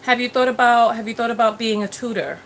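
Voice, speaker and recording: A woman in her thirties hosting a live call-in chat, animated and quick to laugh. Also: a little high, a little hiss or hum. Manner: animated, laughs easily, restarts words. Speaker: host of a live call-in show. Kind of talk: casual chat with a caller.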